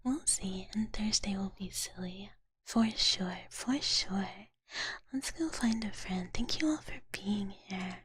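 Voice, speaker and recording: A woman streaming ASMR in a soft, gentle whisper, often repeating her phrases, her voice a little tired. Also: medium pitch, slow, husky. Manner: speaks of herself in third person. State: voice tiring, slightly strained. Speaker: live streamer hosting as a virtual character.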